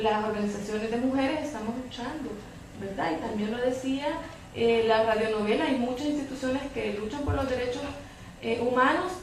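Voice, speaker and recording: A woman with a somewhat high voice addresses a public debate as an expert panelist. She is earnest and repeats phrases for emphasis. The mic is distant and picks up faint noise. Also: heavy reverb. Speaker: psychologist, panelist. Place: public debate hall with audience.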